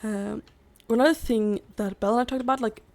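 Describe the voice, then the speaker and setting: A woman's voice: on the high side, fairly even intonation, fairly quick, darkish tone. A young woman chatting casually over gameplay, rambling and saying "like" constantly, her voice strained and giving out. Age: young adult. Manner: rambling, fills every gap with "like". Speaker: gaming YouTuber.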